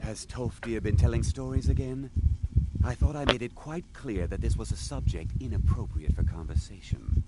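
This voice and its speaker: An actor playing a dignified, stern archmage of a magic college in a fantasy video game, speaking with formal authority. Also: male voice, mid-range voice, normal speed, heavy background noise, natural voice.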